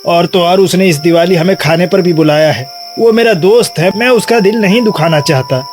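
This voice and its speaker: A man playing a humble, earnest married villager who wavers, in an animated moral tale. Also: high-pitched, normal speed, music in the background, natural voice. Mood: troubled. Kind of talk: animated moral bedtime story.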